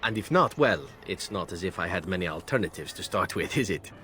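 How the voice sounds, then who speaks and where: Suave, deep-voiced assassin. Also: male voice, expressive, brisk, sharp tone. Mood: wry. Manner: smooth, teasing, courtly. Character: suave, flirtatious male elf assassin sworn as companion. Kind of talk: video game voice acting.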